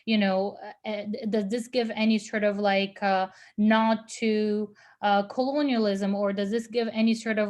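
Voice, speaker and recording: Woman, mid-range voice, guest speaker, thoughtful, gropes for words, video call audio. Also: medium pitch. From Lebanon. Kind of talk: virtual panel Q&A answer.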